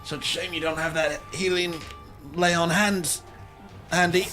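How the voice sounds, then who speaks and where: A man's voice: on the high side, flat delivery, a little slow, sharp tone. A man chatting casually as a player at a tabletop roleplaying game. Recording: music behind the voice. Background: music.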